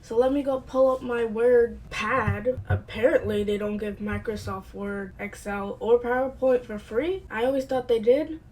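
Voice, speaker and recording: A woman's voice: fairly high pitch, steady tone, moderate pace, few pauses, darkish tone, poor audio. A woman hosting a casual video game review, chatty and playful, sounding congested and a bit nasal from a cold. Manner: chatty and playful, with light asides. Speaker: host of a gaming channel.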